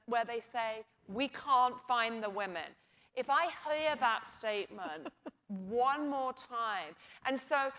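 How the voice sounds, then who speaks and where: A woman's voice: mid-range voice, a bit bright. A woman speaking on a conference panel, making her case emphatically with rhetorical questions. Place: conference stage.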